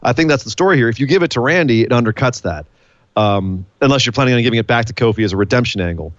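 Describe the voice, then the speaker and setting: Male podcast co-host, mid pitch, playful and animated, dry dull home-mic sound. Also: quick pace, dry recording. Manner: animated, playful, hypes announcements. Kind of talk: casual wrestling podcast chat.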